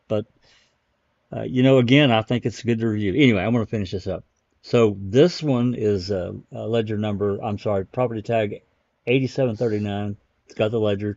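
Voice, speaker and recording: A man's voice: on the low side, no echo, highs cut off. A man hosting a casual commentary stream, thinking aloud as he rambles through his material. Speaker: live stream host. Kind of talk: casual commentary on case records.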